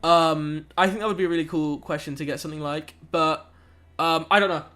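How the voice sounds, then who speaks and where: Young male streamer, high voice, laid-back slangy chat while working problems aloud. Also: steady tone, a little fast, sharp tone. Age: young adult. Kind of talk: casual live-stream chat while solving maths.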